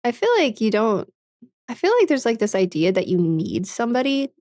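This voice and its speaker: A woman's voice: mid-range voice, darkish tone, no echo. Laid-back woman streamer with a dry sound. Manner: frank and chatty, swears often, laughs a lot, fills with "like" and "man". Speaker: livestreamer. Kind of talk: casual chat, reading and answering viewer comments.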